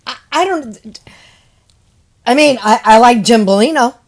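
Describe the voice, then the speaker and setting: A woman's voice: fairly high pitch, expressive, unhurried. Blunt woman in her seventies. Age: 70s. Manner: blunt, dismissive, stumbles over words. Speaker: guest, co-host's mother. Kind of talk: casual chat.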